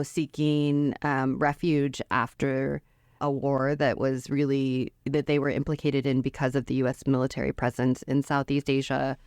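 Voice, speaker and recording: A woman's voice: a bass voice, a little mellow, smooth, dry recording, clean studio sound. A woman from Metro Detroit, a professor, explaining her research in a thoughtful way, with many "I think" and "you know". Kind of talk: academic conversation.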